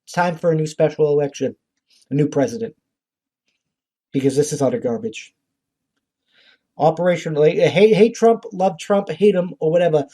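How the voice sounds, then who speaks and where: A man's voice: a little high, moderate pace, pauses often, warm tone. A man hosting a podcast, delivering an informal, profane political rant in a blunt, indignant and exasperated way. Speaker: podcast host.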